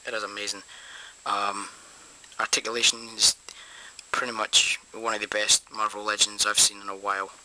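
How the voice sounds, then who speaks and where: A man's voice: fairly low pitch, completely flat delivery, moderate pace, pushed and bright, poor audio. A Scottish man from Scotland reviewing an action figure at his desk in a casual vlog, enthusiastic and rambling with lots of fillers. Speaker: toy reviewer. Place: small room at a desk.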